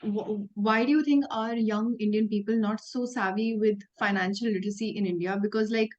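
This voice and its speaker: A young woman in her twenties interviews a guest over a video call. She speaks politely at a middling pitch and sounds a little hesitant as she phrases her questions. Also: fairly even intonation, brisk, a little mellow, lo-fi sound. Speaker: interviewer, student.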